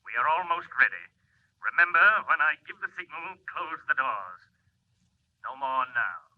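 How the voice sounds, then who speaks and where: Commanding masked villain over tinny radio. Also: male voice, a little high, sing-song, moderate pace. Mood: urgent, commanding. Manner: commanding, clipped, boastful. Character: menacing masked male villain, a fanatical mastermind. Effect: radio relay filter. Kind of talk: 1940s radio acting. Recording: old radio broadcast, thin tinny band-limited sound.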